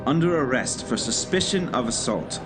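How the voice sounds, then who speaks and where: A man's voice: mid-range voice, lively intonation, moderate pace, over loud music, lightly acted. A man playing an imperious, dramatic director giving orders in an audio drama. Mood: commanding.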